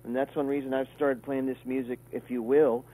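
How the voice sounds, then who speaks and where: A man's voice: medium pitch, level tone, fairly quick, warm tone, low-quality recording. A man, a guest musician talking casually in a radio interview, rambling with fillers and restarts. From Kentucky, USA.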